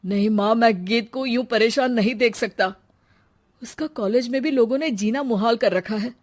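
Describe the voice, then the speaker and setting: A woman's voice: a little high, moderate pace, darkish tone, close to an everyday voice. A woman voicing an earnest, protective young college man in a cartoon moral story, speaking in a pleading, righteous way. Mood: determined.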